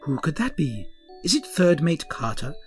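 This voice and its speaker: A man's voice: fairly high pitch, sing-song, moderate pace, faint music behind. A man playing a grand, pompous starship captain who speaks in courtly rhymes. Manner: pompous, rhyming, courtly speech.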